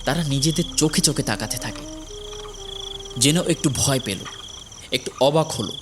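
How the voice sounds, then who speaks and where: A man's voice: medium pitch, expressive, moderate pace, noisy background. A man narrating a scripted horror story in a dramatic storytelling style.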